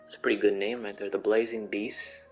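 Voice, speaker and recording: A man's voice: fairly low pitch, level tone, a little fast. A young man reacts casually to a video game as a streamer. His talk is slangy and joking, circling back to repeat phrases for emphasis. Faint game music runs behind him.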